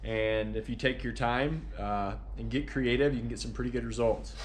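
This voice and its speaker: A man's voice: mid-range voice, moderate pace, heavy background noise. A man, casually explaining a barn repair job on his vlog with lots of fillers. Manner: relaxed and explanatory, with many "uh" and "kinda" fillers. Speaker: carpenter and channel host. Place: outdoors at a farmyard barn and inside a large workshop.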